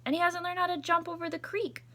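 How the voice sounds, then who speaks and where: A woman's voice: very high-pitched, steady tone, quick pace, sharp tone, pitched above the performer's own voice. A woman voicing a candid, matter-of-fact young schoolgirl in a storybook, speaking frankly and emphatically.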